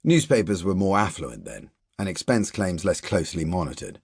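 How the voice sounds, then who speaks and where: Man, audiobook narrator, husky low voice, lively intonation, measured literary reading. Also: dry recording, clean studio sound. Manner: measured first-person narration, quiet asides in dialogue.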